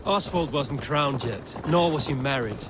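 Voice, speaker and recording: An actor playing a resolute Viking war leader in a video game, blunt and commanding. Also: male voice, mid-range voice, measured pace, natural voice. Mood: stern. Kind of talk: video game drama acting. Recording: clear outdoor game ambience noise.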